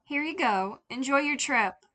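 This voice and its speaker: A woman's voice: high voice, expressive, unhurried, pushed and bright, slight background noise, pitched above the performer's own voice, exaggerated voice. A woman voicing a friendly, obliging adult shop cashier in a casual manner.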